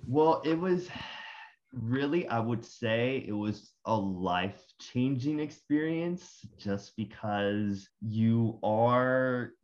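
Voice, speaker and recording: A man's voice: mid-range voice, expressive, slow, darkish tone, muffled recording. A young man from Rico, answering interview questions as a guest, hesitant and full of fillers. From Puerto Rico. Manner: hesitant, many fillers and restarts. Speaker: guest, graduate student.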